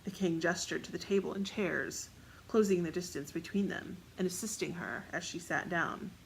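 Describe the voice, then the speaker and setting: A woman narrates a piece of fiction from a script in a slightly low voice. Fry runs through her delivery, and there is faint noise behind her. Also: normal speed, rough recording.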